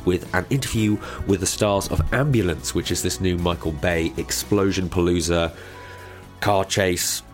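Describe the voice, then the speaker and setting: A man hosting a podcast, hoarse and croaky from a cold, chatting casually and poking fun at himself. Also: low-pitched, moderate pace, faint music behind. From Britain. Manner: chatty and self-mocking. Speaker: podcast host. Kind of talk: casual chat.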